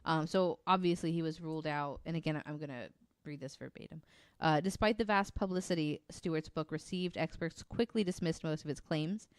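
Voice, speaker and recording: A woman's voice: low voice, brisk, smooth, dry recording. A woman hosting a true crime podcast in casual, giggly, informal talk. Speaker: podcast host.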